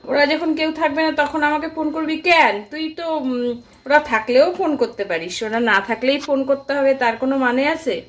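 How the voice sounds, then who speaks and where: Woman doing homely mom on a phone call drama, high voice, chatty n caring. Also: moderate pace, close to an everyday voice. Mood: gently questioning. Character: affectionate homely mother chatting with her grown son. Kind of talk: staged phone-call drama, casual domestic chat.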